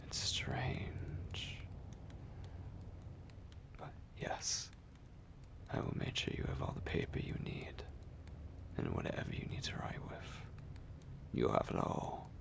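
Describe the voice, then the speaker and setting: A man voicing a possessive, courtly, seductive vampire lord in an intimate ASMR roleplay, murmuring breathily and deliberately close to the microphone. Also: lightly acted. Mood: coaxing, intrigued. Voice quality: breathy, gravelly low whisper.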